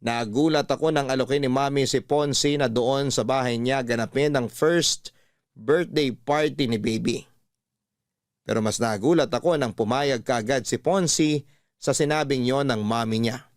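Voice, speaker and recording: A man's voice: mid-range voice, no room sound, studio quality. A man with a Filipino accent reading out a scripted first-person story as a radio drama narrator.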